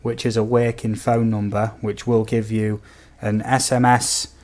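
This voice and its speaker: A man with a fairly low voice that has a slight croak to it. He talks as a game streamer hosting a casual live chat. His manner is easygoing and chatty, addressing viewers like mates. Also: level tone, unhurried, darkish tone, a little hiss or hum.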